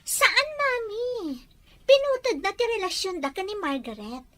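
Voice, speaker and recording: High, bright, smug young love rival. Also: female voice, big pitch swings, measured pace, full character voice. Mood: defensive. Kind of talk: Ilocano radio drama acting.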